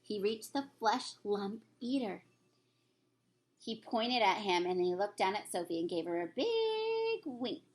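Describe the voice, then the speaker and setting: A woman's voice: medium pitch, big pitch swings, unhurried. A woman reading a children's storybook aloud as a teacher would, with occasional stumbles.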